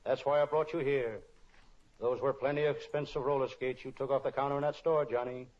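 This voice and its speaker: A man's voice: medium pitch, steady tone, brisk, darkish tone, natural voice. Man as a wisecracking old-radio private detective. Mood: gentle, serious. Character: wisecracking, kind-hearted male private detective. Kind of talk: old-time radio detective spoof, narration and dialogue. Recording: dull lo-fi old radio recording.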